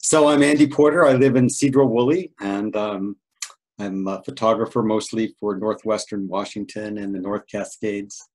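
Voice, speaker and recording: A man's voice: lowish voice, fairly even intonation, moderate pace, darkish tone, poor audio. A man, a panelist photographer, speaking in an easygoing, modest way on a video call. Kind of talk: casual video call chat.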